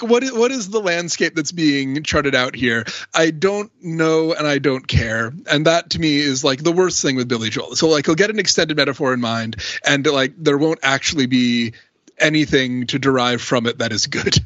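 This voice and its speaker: A man with a somewhat high voice chats analytically as a podcast panelist. He is animated and opinionated and drifts into asides. The sound is very dry and a little lo-fi. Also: no echo. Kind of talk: casual analytical panel discussion.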